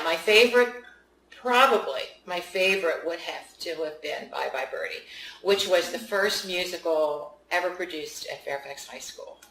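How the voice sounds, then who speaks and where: An older woman with a fairly low voice, chatting easily and laughing through her stories. She sounds a bit far from the mic in a roomy studio, with faint ambience behind her. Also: sharp tone, a little hiss or hum. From West Virginia. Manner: chatty storyteller, laughs often. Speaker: author, interview guest. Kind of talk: casual interview conversation.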